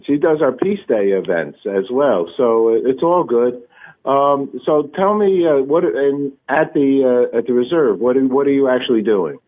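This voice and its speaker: A man with a middle-pitched voice hosts a casual radio interview. He is chatty and jokey and often trips over his words, filling pauses with um and uh. The sound is thin and narrow, like a phone line. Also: lively intonation. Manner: chatty, jokey, stumbling with many fillers. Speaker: radio show host.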